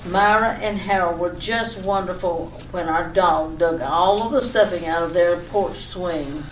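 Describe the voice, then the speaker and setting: A woman's voice: lowish voice, measured pace, natural voice. A woman playing a fussy, scatterbrained wife in an old-time radio comedy sketch, giving repetitive, over-detailed instructions. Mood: fussy, bustling. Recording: echoey room, distant mic, faint noise.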